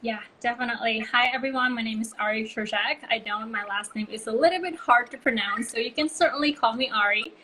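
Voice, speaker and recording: A woman's voice: on the high side, fast talker, hardly any pauses, bright, forceful tone, slight background noise, rough recording. A woman, chatting as an interview guest and telling stories with many "like" and "um" fillers over a video call. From Bali, Indonesia. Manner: chatty storytelling, frequent "like" and "um". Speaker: guest, brand consultancy co-founder. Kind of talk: casual interview chat.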